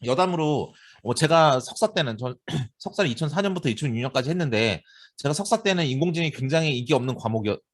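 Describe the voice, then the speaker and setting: A man's voice: medium pitch, quick pace. A man in his forties with a Seoul accent, giving a university lecture in a chatty way and often asking his students rhetorical questions. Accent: Seoul Korean. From Seoul, South Korea. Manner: lively, talkative, addresses students directly with rhetorical questions. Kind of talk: classroom lecture.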